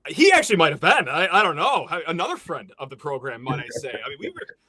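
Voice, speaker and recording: A man hosts a sports podcast with an animated, swooping delivery, kidding around with his guest. His voice is fairly high and recorded dry and close, with no room sound. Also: husky, no room sound. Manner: animated, joking, quick asides. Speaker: podcast host. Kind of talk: casual sports podcast chat.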